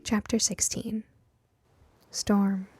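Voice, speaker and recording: A woman narrating a scripted first-person audio drama in an expressive, deliberate storytelling manner. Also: mid-range voice, over music.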